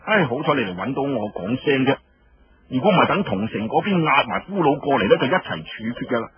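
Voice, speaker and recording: A man's voice: mid-range voice, sing-song, fairly quick, lo-fi sound. A man voicing a brash, teasing woman general with a Cantonese accent, blunt and mocking in a radio story. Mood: stern. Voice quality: husky.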